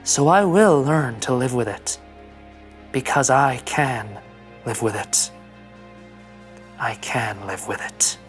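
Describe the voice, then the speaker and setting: A woman's voice: very deep voice, slow, pauses often, faint music behind. A woman playing an earnest, easily flustered young male starship ensign in a melodramatic sci-fi script reading. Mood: solemn, resolved. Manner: melodramatic, indignant monologuing. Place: studio.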